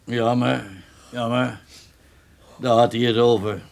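Folksy motel keeper, gravelly creaky voice, chatty, obliging, proud, radio drama. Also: male voice, medium pitch, flat delivery, slow, slight background noise.

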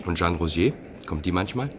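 An actor in a German radio play as a reserved, precise foreign man on the run, speaking in a measured, formal way. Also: deep voice, animated, background noise. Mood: probing. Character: reserved, precise foreign male fugitive among car thieves.

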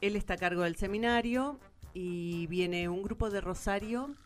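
A woman's voice: medium pitch, normal speed. Argentine woman explaining things over music. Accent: Argentine Spanish. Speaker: interviewed theatre event organiser. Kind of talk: conversational radio interview.

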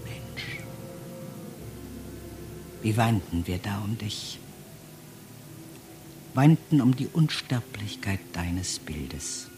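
A man acting a solemn poetic monologue in a radio play, an anguished, accusing figure addressing mankind, with dramatic declamation that falls into hushed tones. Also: fairly low pitch, big pitch swings, drawn-out words, pauses often, heavily acted. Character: anguished male speaker reciting a fervent accusatory address to mankind. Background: music.

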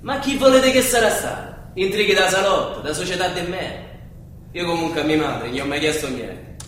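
An actor playing a bitter, defiant young revolutionary prisoner with a Roman accent, insolent and theatrical in a radio play. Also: male voice, high voice, normal speed, a bit bright. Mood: evasive, bitter. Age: young adult. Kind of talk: stage-style radio play acting. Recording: echoey far-off stage sound, clear hiss.

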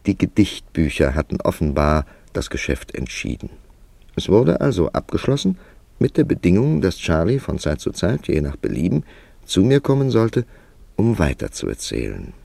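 An actor playing a worldly, wry male writer who narrates his tale in a radio play with measured, dramatic storytelling. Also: low voice, wildly swooping pitch, vocal fry, mellow, dry recording, full character voice. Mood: reflective narration. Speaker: narrator and lead character.

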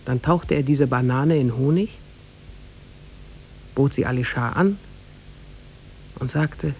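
A man narrating a tale as a formal, measured storyteller in 1950s German radio style. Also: mid-range voice, darkish tone, slight background noise.

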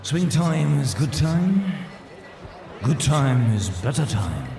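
An actor playing a rambling, cheesy small-town dance-band announcer, showy and full of silly patter. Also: male voice, mid-range voice, sing-song, takes it slowly, gravelly, music in the background, exaggerated voice. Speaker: party announcer. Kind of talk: hammy stage announcements in radio drama. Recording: echoey hall, distant, crowd behind.

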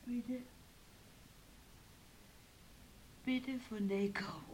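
An actress in a radio play playing a sick, frightened woman who speaks haltingly and pleadingly. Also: a little high, steady tone, a little mellow, natural voice. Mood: weak, weary. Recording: hissy, clicky old radio recording.

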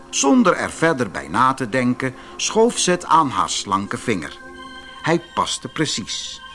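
A man narrating a scripted fairy tale audiobook in an expressive, dramatic storytelling manner. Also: mid-range voice.

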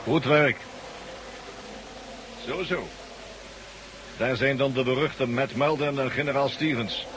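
A man's voice: medium pitch, slow, pauses often, natural voice. An actor playing a self-assured, devout henchman of a false god in a science-fiction radio drama. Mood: smug. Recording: noisy old radio recording.

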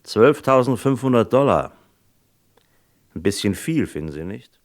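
A shrewd, low-voiced detective. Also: male voice, sing-song, unhurried. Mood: firm, probing. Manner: probing, slyly casual questioning. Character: shrewd, unhurried male American detective questioning suspects. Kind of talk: German radio crime drama acting.

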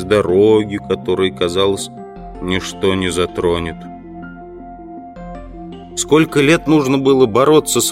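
A man playing a trapped, brooding entomologist in an audio drama, with deliberate, dramatic phrasing. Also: on the low side. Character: trapped male entomologist, indignant, brooding, rational. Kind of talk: audio drama acting with inner monologue. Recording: music behind the voice.

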